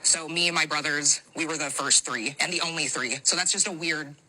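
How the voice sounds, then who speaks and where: Woman, American, very sharp bright voice, careful hesitant wording, personal discussion. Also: sits low, steady tone, a little fast, rough recording. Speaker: debate show participant. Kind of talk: personal account in a panel discussion.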